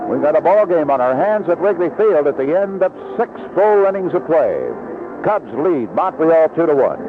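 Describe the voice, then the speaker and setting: A man's voice: a little high, animated, moderate pace, over music. Baseball announcer, man, vintage radio. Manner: descriptive, short clipped calls. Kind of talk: live radio sports commentary. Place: broadcast position at an outdoor ballpark. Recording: narrow-band vintage radio broadcast, noisy.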